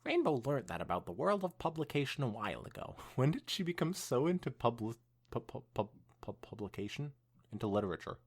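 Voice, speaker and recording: A man's voice: medium pitch, big pitch swings, fairly quick, breathy edge. A man co-hosting a podcast, reading fan fiction aloud expressively with some stumbles and dropping into casual chat. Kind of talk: dramatic read-aloud mixed with casual chat.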